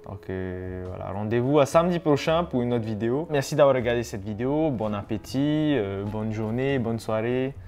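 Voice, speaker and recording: A man in his twenties talks to his viewers in a laid-back, meandering way, often pausing and hesitating as he thinks aloud. His voice sits in an ordinary middle pitch. Quiet guitar music plays faintly underneath. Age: 20s. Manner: relaxed, rambling, many hesitations. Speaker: YouTuber. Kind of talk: casual vlog talk to camera.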